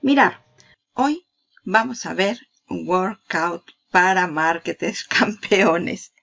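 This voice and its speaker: A chatty woman talks the viewer through a sales page in an easy vlog voice-over. Her voice sits a little low and has a gravelly fry. The recording sounds dull and lo-fi. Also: lively intonation, takes it slowly, a bit bright. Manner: chatty, laughs at herself, addresses viewer directly. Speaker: marketing YouTuber. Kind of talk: casual screen-share product walkthrough.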